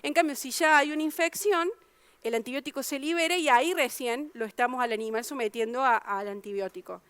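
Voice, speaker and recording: A woman with an Argentine Spanish accent gives a public science talk. Her voice is smooth and resonant and sits fairly high, and the sound is dry and close. She explains patiently, full of fillers and self-corrections. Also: a little fast, fairly bright tone, dry recording. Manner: explanatory, many fillers and restarts.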